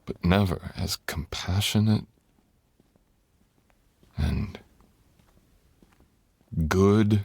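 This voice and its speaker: Guy doing seductive dragon lord asmr, super low husky voice, slow n brooding, formal wording. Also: no room sound, full character voice. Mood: earnest, tender. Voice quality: very deep, gravelly, husky, often breathy.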